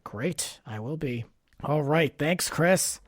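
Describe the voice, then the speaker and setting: Higher-voiced man hosting a casual podcast. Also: expressive, slow pace, a loud crowd. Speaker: podcast host.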